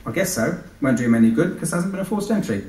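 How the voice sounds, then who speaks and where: A man's voice: fairly high pitch, animated, quick pace, a little mellow, pitched above the performer's own voice, natural voice. A man voicing a floundering, defensive young-ish advertising man in an Australian accent, hesitant in his replies. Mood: flustered. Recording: echoey hall, distant microphone.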